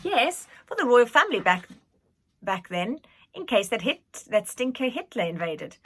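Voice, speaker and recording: A well-bred, bookish boy prince from a children's story , as a woman reader puts him on. He speaks earnestly and precisely, in a bright voice with lively pitch. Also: unhurried, slightly rough, heavily acted.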